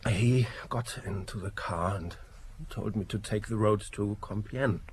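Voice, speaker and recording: An actor in an audio drama playing a wounded man, weak and faint, answering in a husky, breathy voice. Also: lowish voice, measured pace, a little mellow, slight background noise. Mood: weak, pained. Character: wounded, weakened man straining to answer questions.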